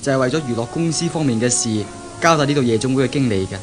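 A man's voice: medium pitch, fast talker, natural voice. An actor with a Hong Kong Cantonese accent playing a courteous, reserved young businessman in a 1970s radio drama. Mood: polite, reluctant. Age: young adult. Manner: courteous, reticent. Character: polite, reserved young male company deputy manager. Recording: old radio recording, music behind.

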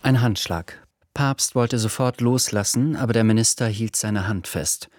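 A man's voice: lowish voice, a little slow, darkish tone, no echo, studio quality. A man giving scripted literary narration in a radio play. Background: music behind the voice.

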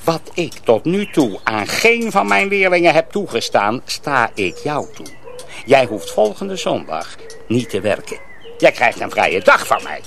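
An actor playing a domineering, volatile master of apprentices in a radio drama, barking or smoothly coaxing. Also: male voice, mid-range voice, sing-song, measured pace, fairly bright tone, heavily acted. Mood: coaxing, conciliatory. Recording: radio play, faint background noise.

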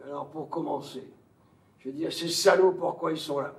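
Brash army interrogator, theatrical, sardonic and bullying, fry in the voice, heard from a distance. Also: male voice, a little high, normal speed. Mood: domineering. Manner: blunt, sarcastic, colloquial, swearing. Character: brash, coarse, domineering American army major, interrogator. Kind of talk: theatrical French stage acting. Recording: distant echoey stage pickup.

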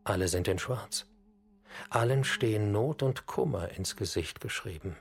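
A man's voice: fairly low pitch, a little slow, vocal fry. A man reading scripted narration for a radio documentary drama.

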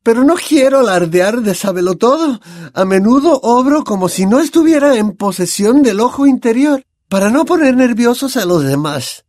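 A man's voice: extremely high pitch, expressive, normal speed, a little mellow, no echo, studio quality, voice pushed up. A man voicing an eccentric female seer with a distant, theatrical way of speaking. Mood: lofty.

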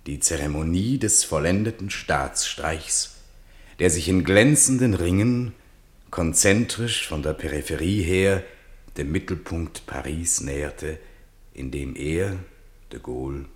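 A man reading scripted literary narration for a radio play in a solemn, rhetorical manner. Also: extremely low pitch.

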